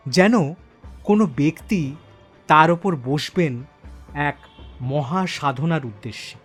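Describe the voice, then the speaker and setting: Male narrator for horror audio story: highish voice, very expressive, suspenseful, unhurried. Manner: suspenseful, dramatic pauses. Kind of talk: dramatic scripted first-person horror narration.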